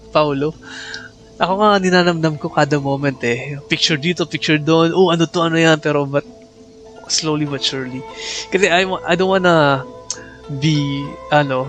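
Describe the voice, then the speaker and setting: Chatty, higher-voiced Filipino male streamer. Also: a bit bright. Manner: chatty, greets viewers by name. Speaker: game live streamer. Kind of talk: casual live stream chat mixing English and Tagalog. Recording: faint game music behind.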